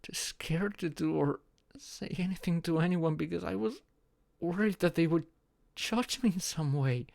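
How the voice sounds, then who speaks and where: A young man playing a shy, anxious friend in an intimate audio roleplay, speaking haltingly with sighs and murmurs. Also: on the high side, a little mellow, no room sound. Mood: anxious, tearful. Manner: halting, sighing, breathy murmurs rising to tearful outbursts.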